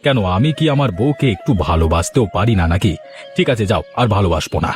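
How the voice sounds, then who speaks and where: A man playing a low-voiced, talkative eatery owner. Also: expressive, fairly quick, natural voice. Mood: coaxing, affectionate. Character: cheerful haggling male biryani eatery owner, doting husband. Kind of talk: Bengali cartoon dialogue. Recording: music behind the voice.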